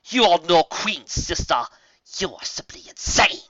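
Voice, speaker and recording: A man voicing a cruel, clever dark alicorn mare who taunts in a hissing, drawn-out way, in a storybook reading. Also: high-pitched, very animated, dry recording, muffled recording, voice pushed up, full character voice. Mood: mocking. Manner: hissing, taunting, slow and cold.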